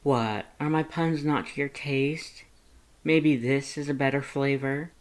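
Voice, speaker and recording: Flirty male monster for an audio story, playful and coaxing, lifted pitch acted out by a narrator. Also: fairly even intonation, measured pace, natural voice. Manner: playful, coaxing, pet names. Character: flirty teasing male monster, affectionate and encouraging.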